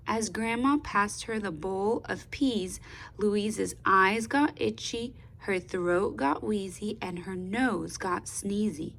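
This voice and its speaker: A woman reads a storybook aloud like a teacher guiding young listeners. Her pace is very slow and deliberate, and her voice has a noticeable creak. She is expressive and pauses to prompt the child. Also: medium pitch. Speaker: speech therapist reading aloud to children.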